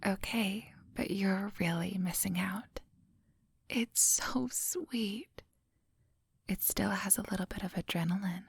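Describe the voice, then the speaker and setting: A woman acts out an ancient female vampire in an ASMR roleplay, whispering very breathily and very close. Her delivery is slow and silky, with a faint gravel underneath. She sounds polite, condescending and quietly dangerous. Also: medium pitch, no room sound, exaggerated voice. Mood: teasing, indulgent. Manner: slow, unhurried, silky and patronizing. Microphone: close microphone.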